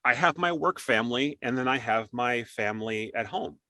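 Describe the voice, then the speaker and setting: A man's voice: medium pitch, animated, normal speed, bright, forceful tone, no echo, clean studio sound. A middle-aged man hosting an interview in a casual, conversational style. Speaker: interview host.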